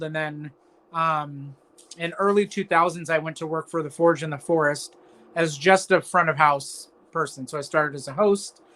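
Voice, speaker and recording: A man's voice: on the high side, pushed and bright. A man in his thirties from California, a baker answering interview questions in an easygoing way. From California, USA. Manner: easygoing, talks in long stringing sentences. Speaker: guest baker.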